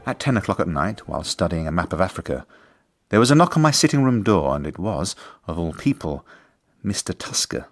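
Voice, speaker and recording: A wry, earnest English schoolmaster in a radio drama. His pitch swings widely and his voice has a husky edge, while his phrasing stays formal and articulate. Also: big pitch swings, fairly quick, faint music behind, heavily acted. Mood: wry narration. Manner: formal, articulate, dryly indignant. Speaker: lead actor and narrator. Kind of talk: radio drama acting and narration.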